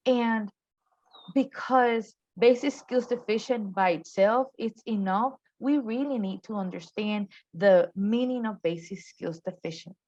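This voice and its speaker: A woman whose first language is not English, speaking English with an accent and explaining program rules in a deliberate training presentation. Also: medium pitch, vocal fry, a little mellow, no echo, dull audio. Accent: non-native English. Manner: deliberate, explanatory, stresses key terms.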